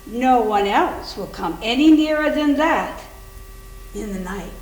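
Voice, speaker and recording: A woman's voice: fairly high pitch, lively intonation, unhurried, darkish tone. A woman performing a cold, rigid housekeeper who recites stiff, repetitive warnings in a staged readers theater piece. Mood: ominous warning. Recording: roomy, echoing hall with hum.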